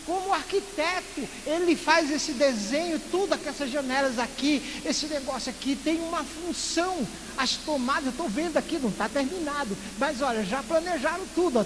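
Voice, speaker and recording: Pastor from Recife in northeastern Brazil, high-pitched man, lively sermon with rhetorical questions, long church echo. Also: brisk, few pauses. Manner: lively preaching full of rhetorical questions and playful asides. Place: church sanctuary. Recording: echoey church hall with loud hiss.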